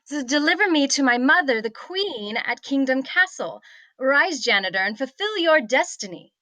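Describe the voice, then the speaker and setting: Girl doing a bossy young princess, high bright voice, pompous royal orders, comedy table read, pretty dry sound. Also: lively intonation, moderate pace, no room sound. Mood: grand. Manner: commanding, pompous. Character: imperious young princess, haughty and grandly self-important.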